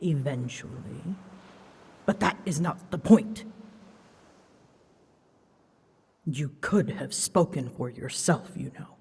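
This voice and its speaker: A woman voicing an ancient, huge female dragon in a scripted roleplay drama, haughty and easily flustered, scolding her rider in formal, measured speech with hidden fondness. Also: low voice, darkish tone, heavily acted. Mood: mock reproach. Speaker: voice actor. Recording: long reverb, clear background noise.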